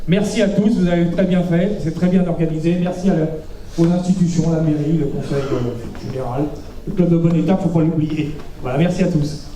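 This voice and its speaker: A man with a high yet very dark-toned voice gives an informal congratulatory speech. It is recorded from afar with echo. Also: brisk. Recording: echoey, distant, faint noise.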